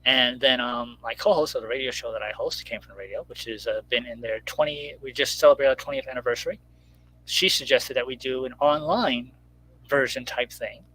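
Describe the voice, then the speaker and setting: A middle-aged man chatting casually on a video call, explaining things in a halting way. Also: mid-range voice, sharp tone. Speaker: guest writer and radio show host. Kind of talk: casual chat. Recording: clearly noisy line with hum.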